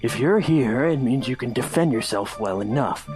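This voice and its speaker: A man's voice: medium pitch, expressive, moderate pace, music in the background, pitched above the performer's own voice, lightly acted. A man voicing a scornful hedgehog antihero with a curt, taunting manner in a fan fiction reading.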